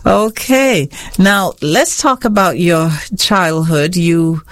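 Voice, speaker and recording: Slow talking interviewer, keeps saying yes yes, dry close sound. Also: mid-range voice, animated, a bit bright, dry recording. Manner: frequent short affirmations. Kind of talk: interview questions.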